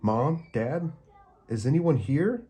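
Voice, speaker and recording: A man's voice: a little high, lively intonation, slow pace, mellow, breathy edge, voice pushed up, exaggerated voice. A man voicing a brave young boy left home alone in a children's storybook, with a raised, earnest childlike voice.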